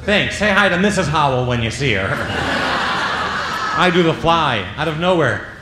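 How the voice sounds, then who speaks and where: Stand-up comic, male, slightly high voice, playful patter; booming room with audience noise behind. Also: expressive, moderate pace. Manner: jokey, drops into silly voices and sound effects. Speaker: stand-up comedian. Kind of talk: stand-up comedy set. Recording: echoey club with audience noise.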